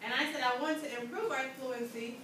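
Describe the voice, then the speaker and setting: A woman's voice: high voice, steady tone, fairly quick, fairly bright tone. A woman teacher leading an interactive classroom lesson, often checking understanding with short questions. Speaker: English teacher. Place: indoor school classroom. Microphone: distant room microphone. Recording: echoey, noisy classroom, far from microphone.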